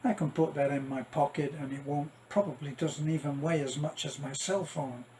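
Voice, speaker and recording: A man's voice: a little high, normal speed, a little mellow, slight background noise. An older man chatting casually to viewers as a vlogger, wry and self-deprecating, drifting off into asides. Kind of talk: casual rambling vlog chat to viewers.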